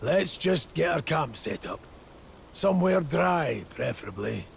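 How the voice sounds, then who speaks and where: An actor playing a gruff, pragmatic soldier and leader in a video game, brisk and commanding with a gravelly voice. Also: male voice, fairly high pitch, very animated, slight background noise, heavily acted. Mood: dry, pragmatic. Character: seasoned, pragmatic male soldier leading comrades, gruff.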